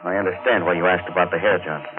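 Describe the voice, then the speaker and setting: Low-voiced menacing chauffeur played by a man. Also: steady tone, quick pace, music in the background, natural voice. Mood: musing. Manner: smooth, insinuating, menacing. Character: menacing former chauffeur turned would-be killer, smooth and threatening. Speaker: radio drama actor. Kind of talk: 1940s radio mystery acting. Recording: narrow, tinny, noisy 1940s radio recording.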